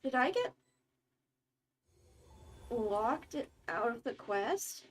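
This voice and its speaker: A woman's voice: a little high, sing-song, extremely slow. A woman game streamer, reading dialogue aloud and reacting as she plays.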